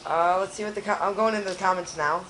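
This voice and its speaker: A young man with a raspy, hoarse voice from a cold, rambling in casual chat as a channel co-host. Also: high voice, steady tone, fast talker, bright, forceful tone, a little hiss or hum, low-quality recording. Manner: rambling, restarts often.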